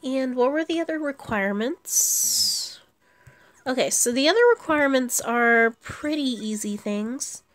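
Slow-paced, higher-voiced woman gamer. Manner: thinks aloud while playing. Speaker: gamer commentating own gameplay. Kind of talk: casual gameplay commentary. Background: video game music.

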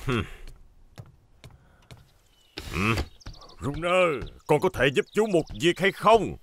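A man's voice: a little high, big pitch swings, slow pace, a bit bright, slight background noise, full character voice. A man voicing a kindly, flustered middle-aged builder in a children's cartoon dub. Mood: troubled. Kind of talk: animated children's dub acting.

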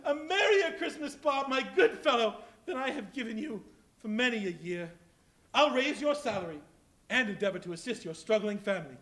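Give me a joyful old miser, played by a man on stage, high and sing-song, exclaiming and laughing through every line. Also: fairly bright tone. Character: elderly male miser, joyful and giddy. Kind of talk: live stage reading. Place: large hall on a stage.

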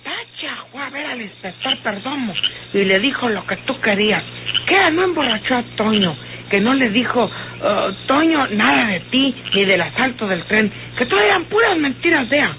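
A woman's voice: a little high, animated, normal speed, close to an everyday voice. An actress playing an earnest country woman in a radio drama, with a rural northern Mexican accent. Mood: urgent. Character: earnest rustic woman bringing word to outlaws. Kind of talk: vintage radio drama acting. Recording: tinny, hissy old radio recording.

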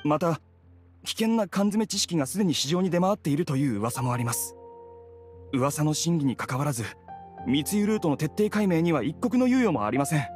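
Formal, slightly high-voiced official played by a man. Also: animated, darkish tone, faint music behind, natural voice. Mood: grave. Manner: polite, measured. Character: serious, formal male official giving a briefing. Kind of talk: Japanese video game voice acting.

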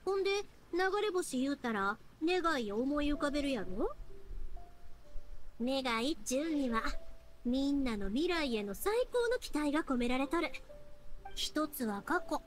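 Bright young anime game heroine, Kansai accent, high and animated, earnest and folksy. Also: female voice. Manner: heartfelt, folksy, expressive. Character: bright, earnest young woman fireworks maker. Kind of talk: Japanese anime game voice acting.

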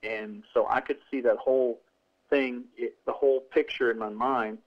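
A man's voice: medium pitch, steady tone, moderate pace, muffled recording. A middle-aged male author talking casually in an interview, rambling with many restarts and fillers. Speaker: author guest.

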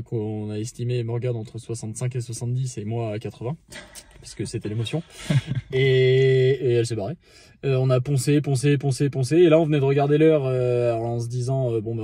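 A man in his thirties recapping a fishing trip to camera in casual, slang-filled talk with lots of fillers, filmed in a car on a phone held at arm's length. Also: medium pitch. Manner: slangy, many fillers, excited storytelling. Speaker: fishing vlogger. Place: inside a parked car.

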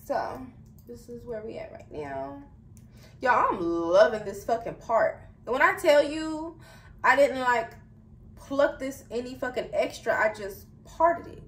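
A woman's voice: sits high, unhurried. A woman chatting casually to viewers as a beauty vlogger, slangy, informal and candid in how she talks. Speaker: vlogger and wig seller. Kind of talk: casual beauty vlog chat. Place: indoor room. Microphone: phone camera at a distance. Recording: roomy, distant mic, faint noise.

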